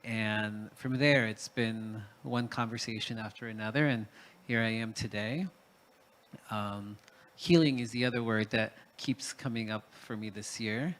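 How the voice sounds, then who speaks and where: A man's voice: lowish voice, steady tone, a little slow, a bit bright. Hesitant, reflective male panelist. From Peru. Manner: hesitant, with many fillers and restarts.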